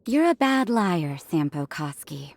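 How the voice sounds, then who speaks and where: A woman playing a calm, shrewd, maternal woman who gently scolds, in a video game. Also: on the low side, measured pace, vocal fry, no room sound, heavily acted. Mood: stern questioning.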